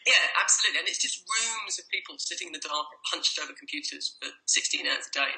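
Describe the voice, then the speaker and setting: A woman's voice: fairly low pitch, fast, noisy background. A woman with an English accent, a guest life coach chatting casually in an interview over a call, with many restarts. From Ireland. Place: home in a noisy city.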